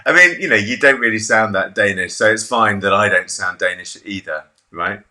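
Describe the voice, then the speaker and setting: A man's voice: lowish voice, moderate pace, pushed and bright. A man in his thirties hosting a casual video-call interview from a home office, chatty, jokey and self-deprecating. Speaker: interview host.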